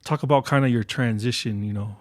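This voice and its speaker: A man hosting a casual interview, asking relaxed, rambling questions. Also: medium pitch, a little fast, no echo, clean studio sound. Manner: relaxed, filler-heavy questions.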